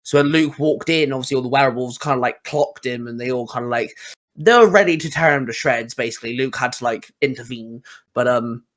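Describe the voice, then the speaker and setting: A man with an average-pitched voice hosts a casual podcast conversation. He is informal and wordy, working his way around to each question in an everyday, chatty style. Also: a little fast, a bit bright. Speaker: podcast host asking questions.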